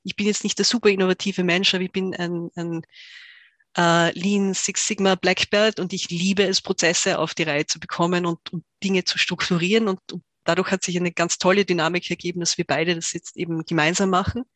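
A woman's voice: on the low side, fairly even intonation, fairly quick, fairly bright tone, no echo. Woman, dry podcast voice. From Tyrol, Austria. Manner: thoughtful, many fillers and restarts. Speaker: startup founder, guest. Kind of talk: podcast interview.

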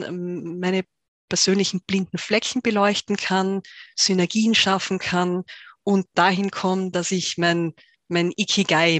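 A woman's voice: on the low side, fairly bright tone, dry recording. A woman from Tyrol, a startup founder talking as a podcast guest, thoughtful, with many fillers and restarts. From Tyrol, Austria. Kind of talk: podcast interview.

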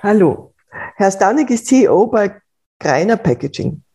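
A woman hosting a business podcast, asking formal, measured questions. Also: medium pitch, very animated, warm tone, husky. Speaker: podcast host. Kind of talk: interview.

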